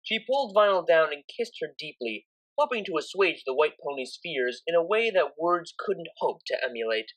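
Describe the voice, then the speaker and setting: A woman reads a story aloud as its narrator. Her voice sits a little low for a woman and moves through a very lively range of pitch, giving the scripted prose expression. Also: normal speed, few pauses, poor audio. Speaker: audiobook narrator.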